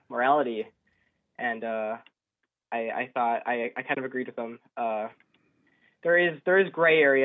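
An eighteen-year-old man from upstate New York calling a radio show on a phone line, debating casually in a hesitant, halting way. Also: mid-range voice. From upstate New York, United States. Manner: hesitant, halting, stammering restarts. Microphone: telephone. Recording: narrow, tinny phone line.

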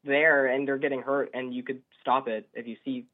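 An eighteen-year-old man from upstate New York calling a radio show on a phone line, debating casually in a hesitant, halting way. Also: mid-range voice, flat delivery. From upstate New York, United States. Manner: hesitant, halting, stammering restarts. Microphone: telephone. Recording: narrow, tinny phone line.

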